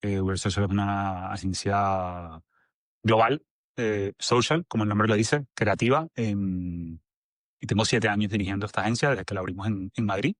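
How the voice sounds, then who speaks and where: A man's voice: fairly low pitch, normal speed, no room sound, clean studio sound. A man in his forties from Venezuela with a Venezuelan accent, a guest speaking casually with lots of fillers and restarts. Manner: rambling, many fillers and restarts. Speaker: agency director, guest. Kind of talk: casual conversational interview.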